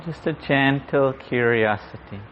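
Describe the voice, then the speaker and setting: A man guiding a meditation in a gentle, unhurried manner, leaving long pauses. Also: mid-range voice. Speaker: meditation guide. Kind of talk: guided meditation. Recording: muffled audio with clear background noise.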